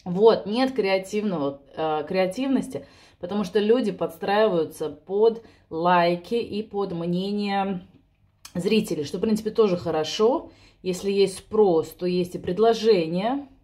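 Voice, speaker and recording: A woman's voice: medium pitch, measured pace, dark tone. A woman, chatting spontaneously and a little self-ironically to camera from her home kitchen. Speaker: vlogger. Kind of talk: spontaneous casual chat to camera. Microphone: camera or phone on the table.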